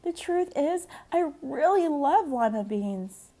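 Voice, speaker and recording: A woman's voice: high-pitched, expressive, slow pace, dark tone, voice pushed up, heavily acted. A woman voicing a self-conscious, plaintive young schoolgirl in a storybook. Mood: urgent confession.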